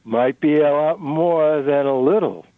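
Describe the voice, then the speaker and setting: A man acts out an earnest, uneasy man from a novel, full of questions for a new acquaintance. His voice is on the high side, with a dry, close sound. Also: measured pace, no room sound, phone-quality sound, natural voice. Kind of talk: dramatic reading of novel dialogue.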